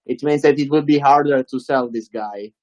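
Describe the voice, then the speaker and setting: A man's voice: mid-range voice, normal speed, a little mellow, no echo. A man asking a guest speaker questions in a webinar, hesitant and full of fillers. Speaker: audience member asking questions.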